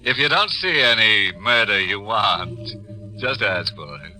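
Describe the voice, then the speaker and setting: An actor playing a gleefully macabre horror host in 1940s radio style, sardonic and mock-cheerful. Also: male voice, fairly low pitch, expressive, bright, forceful tone, full character voice, low-quality recording. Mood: mock welcoming. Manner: sardonic, mock-cheerful, drawn-out delivery with punning gallows humor. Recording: old 1940s radio recording with music.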